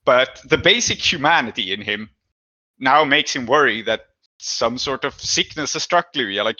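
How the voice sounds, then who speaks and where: Guy running a tabletop rpg, thinking out the story, bright edgy voice, dry kinda lo-fi mic. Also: medium pitch, no echo. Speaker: game master. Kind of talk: improvised tabletop game narration.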